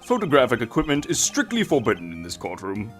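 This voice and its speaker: A man playing an elderly, slightly befuddled judge in a video game fandub, measured, formal and authoritative. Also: medium pitch, huge pitch swings. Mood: grave. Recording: music bed behind the voice.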